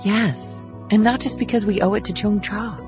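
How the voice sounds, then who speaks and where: A woman's voice: medium pitch, fairly even intonation, moderate pace, a little mellow, faint music behind, natural voice. A woman performing an earnest, reflective female government operative in an audiobook thriller. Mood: tender, resolute. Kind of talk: dramatic audiobook dialogue.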